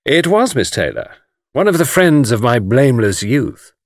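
A man voicing a suave, easygoing gentleman adventurer with dry, urbane politeness in an audiobook story. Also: mid-range voice, sing-song, moderate pace, dry recording. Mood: dryly polite.

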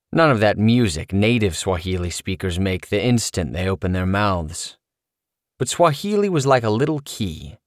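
A middle-aged man narrating his own memoir as an audiobook, telling it in an animated, expressive way. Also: deep voice, dry recording, clean studio sound.

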